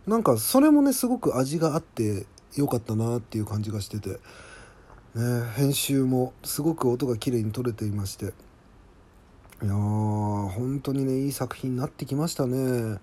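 Male podcast host and scriptwriter, soft mellow tone, mid pitch, chatty admiring solo commentary. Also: animated, moderate pace. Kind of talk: casual solo behind-the-scenes commentary.

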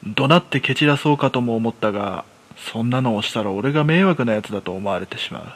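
A man as a reclusive thirtysomething narrator, rough-spoken. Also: mid-range voice, lively intonation, fairly quick, a bit bright. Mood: wry, weary. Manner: colloquial, rough masculine storytelling. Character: reclusive unemployed man in his thirties, jittery, self-deprecating. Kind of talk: first-person horror story narration, radio drama.

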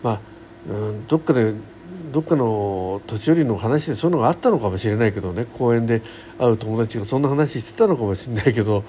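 A man's voice: mid-range voice, fast talker, dark tone. A middle-aged man hosting a solo radio show, chatting casually in a colloquial, folksy way. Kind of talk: casual solo chat, rambling daily-life anecdotes. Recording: faint background noise.

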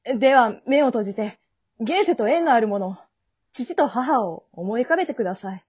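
A courteous, kindly reaper played by a woman guides a soul in an amateur radio drama. The voice sits fairly high and stays businesslike yet earnest and reassuring. The recording is a little dull and lo-fi. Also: animated, moderate pace, darkish tone, slightly rough. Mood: gentle, reassuring. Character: polite, kindly male guide of the afterlife, secretly an older brother.

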